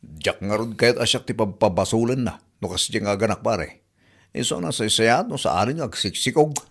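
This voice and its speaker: A man's voice: on the low side, animated, moderate pace. A man playing an exasperated, stubborn husband and new father with an Ilocano Filipino accent in a radio comedy drama. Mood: weary complaining.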